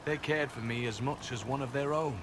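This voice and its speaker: A man's voice: mid-range voice, fairly quick, close to an everyday voice. Man acting guarded male elf spy, noisy backdrop. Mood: earnest, reflective. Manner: dry, matter-of-fact, quietly earnest. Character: young male elf spy, former slave, dry and guarded. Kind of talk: video game cutscene acting. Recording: game capture with loud ambient background noise.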